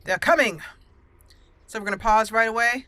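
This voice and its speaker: A woman's voice: on the high side, moderate pace, pushed and bright, no room sound. A woman hosting a gaming channel, giving casual gameplay commentary in a chatty, playful way. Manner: chatty, playful, laughs at her own jokes. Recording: faint game music behind.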